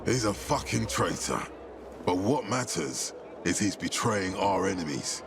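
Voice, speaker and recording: Low-voiced, calculating male commander. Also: animated, unhurried, vocal fry, background noise, full character voice. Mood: firm, assured. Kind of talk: video game cutscene acting.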